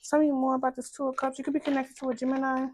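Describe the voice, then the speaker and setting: A woman with a low voice, doing a casual tarot reading in a soft, musing way and repeating phrases as she thinks. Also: level tone, very fast, slight background noise. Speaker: tarot card reader.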